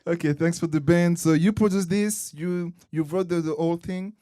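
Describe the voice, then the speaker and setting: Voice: mid-range voice, moderate pace, faint music behind. A podcast host who asks hesitant questions and murmurs along while the guest talks. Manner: many soft backchannel murmurs, hesitant questions. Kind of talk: casual interview chat.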